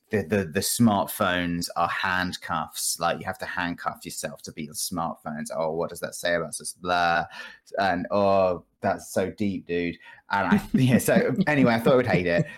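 Male podcast guest, low voice, casual and conversational, dry sardonic humour. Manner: wry, sardonic, jokey. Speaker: podcast guest discussing video games.

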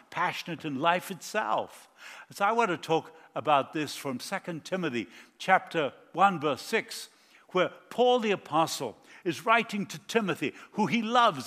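A man's voice: fairly high pitch, sharp tone, in a large hall. A man from Argentina preaching a sermon as a guest, mixing jokes and personal stories into his message. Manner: jokey, anecdotal.

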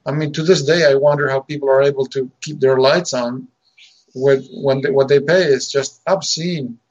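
A man from Argentina talks on a podcast as a guest, worked up and emphatic, stumbling over repeated words and chuckling between points. His voice sits at a middle pitch. The audio is dull and lo-fi. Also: fairly even intonation, normal speed. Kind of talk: casual podcast chat.

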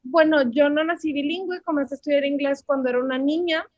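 A woman's voice: sits high, fairly even intonation, fast talker, darkish tone, no room sound, muffled recording. A woman in her twenties with a Mexican accent, a language teacher chatting about her story as an interview guest, with lots of fillers. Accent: Mexican Spanish. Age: 20s. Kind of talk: casual interview answers.

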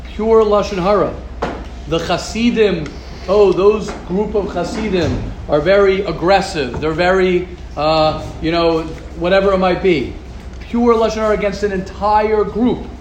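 A man teaching a Torah class, taking questions and acting out quoted gossip in a lively, repetitive way. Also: high-pitched, measured pace. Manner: animated, acts out quoted gossip, repeats words. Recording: echoey room, noisy ambience.

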